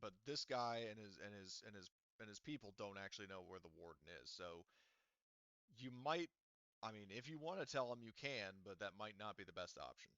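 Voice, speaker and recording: A man guides players through a tabletop game in loose, halting speech full of restarts. His voice is bright and smooth, recorded dry and up close on somewhat dull, lo-fi audio. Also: lowish voice, expressive, dry recording. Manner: halting, many restarts and fillers. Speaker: game master. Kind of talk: casual tabletop game narration.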